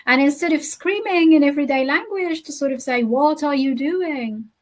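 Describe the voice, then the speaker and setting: A woman with a slightly high voice answers interview questions as a poet. Arabic is her first language. She talks in a measured, earnest way and leans hard on key points. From Gaza, Palestine. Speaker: poet, guest.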